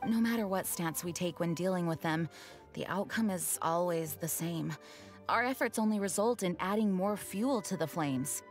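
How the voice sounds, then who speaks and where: A woman playing a dutiful, diplomatic knight commander in a fantasy game, speaking formally and earnestly in measured phrases. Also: fairly low pitch, natural voice. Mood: composed, earnest. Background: game music.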